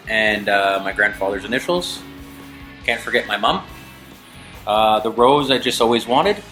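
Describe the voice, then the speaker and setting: Man calmly listing his tattoos. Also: mid-range voice. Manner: plain, listing one item after another. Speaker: barber and tattoo customer. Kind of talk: casual interview talk.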